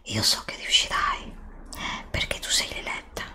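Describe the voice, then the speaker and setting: A woman playing a solemn, pleading princess of a threatened castle kingdom in a hushed ASMR roleplay, dramatic and close to the microphone. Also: measured pace. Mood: solemn, grave. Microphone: close desktop USB microphone.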